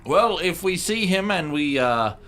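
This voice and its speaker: A man's voice: sits high, expressive, unhurried, over music. A man playing a tabletop game, bantering casually in character with hesitant, dry joking.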